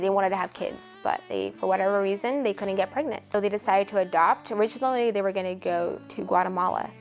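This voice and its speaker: Young woman, 18, from ethiopia, chatty and earnest, soft music under her. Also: mid-range voice. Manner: earnest, chatty, many "like" fillers. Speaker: college student and singer-songwriter. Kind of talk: casual documentary interview.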